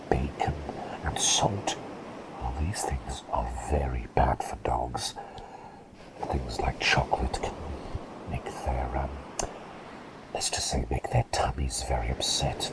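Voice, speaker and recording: A man's voice: extremely low pitch, poor audio. Man as a whispering, eccentric, kindly plague doctor. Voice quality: breathy whisper with creaky edge. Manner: gentle, soothing, repeats phrases, quaintly effusive. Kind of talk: ASMR roleplay, whispered personal attention.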